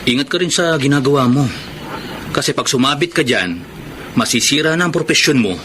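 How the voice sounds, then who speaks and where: Everyday male best friend for radio drama, played by an actor: medium pitch, frank, concerned, clear ambient noise. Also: lively intonation, a little fast, natural voice. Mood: concerned, disbelieving. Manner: casual, frank. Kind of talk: Filipino radio drama acting. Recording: noisy ambient scene background.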